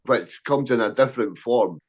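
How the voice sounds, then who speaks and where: A man's voice: mid-range voice, moderate pace. A man in his forties calling into a live chat, wry and explaining patiently. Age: 40s. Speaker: caller. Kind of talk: casual chat. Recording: dull lo-fi line.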